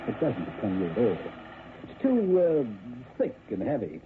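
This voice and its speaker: A man's voice: mid-range voice, huge pitch swings, normal speed. A man playing a cocky, sardonic private detective in an old-time radio drama. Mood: smooth, needling. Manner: sardonic, wisecracking. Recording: muffled narrow-band old radio, heavy noise.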